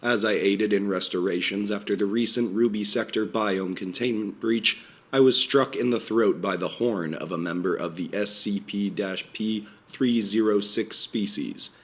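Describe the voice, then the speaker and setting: Guy doing a stiff formal lecturer, measured, lowish voice, a bit strained from a cold. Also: close to an everyday voice.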